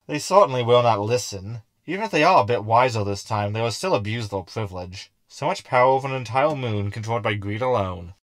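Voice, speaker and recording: A man's voice: mid-range voice, lively intonation, fairly quick, few pauses, fairly bright tone, no echo, lightly acted. An actor playing a sardonic, indignant male crew member in a science fiction audio sitcom.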